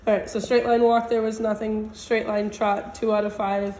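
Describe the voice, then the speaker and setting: Flat-voiced woman with a stutter. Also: mid-range voice, moderate pace. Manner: reads out exam results. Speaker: vet technician. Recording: echoey room with faint noise.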